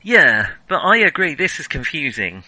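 A man's voice: on the high side, very animated, moderate pace, sharp tone, dry recording, poor audio. A man co-hosting a casual film discussion, musing aloud and wandering off into tangents. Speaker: podcast co-host.

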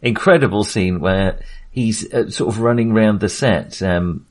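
A man co-hosting a casual film discussion, speaking in a halting way as he thinks aloud. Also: lowish voice. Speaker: podcast co-host.

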